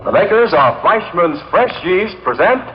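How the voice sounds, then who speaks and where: A man's voice: high-pitched, animated, unhurried. A man announcing a sponsored adventure serial in a 1940s radio style. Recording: dull old radio recording with music.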